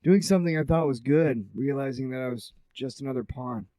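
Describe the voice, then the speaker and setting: A man acts out a guarded young super-powered clone in an audio drama. His voice is mid-pitched and deadpan, recorded dry and close. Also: fairly quick, dry recording, close to an everyday voice. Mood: reflective.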